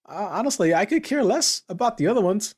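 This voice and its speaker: Husky guy on a podcast, lively up and down pitch, asks stuff, casual. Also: a little fast. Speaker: podcast co-host. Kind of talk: casual chat about a TV series.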